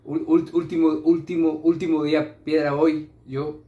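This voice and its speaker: A man's voice: a little high, level tone, narrow, tinny audio, natural voice. A man playing a confused, pleading drug addict who stammers and repeats himself in a theatrical monologue. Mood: dazed, insistent. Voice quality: stammering, halting speech. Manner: fragmented, obsessively repeating himself. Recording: echoey room.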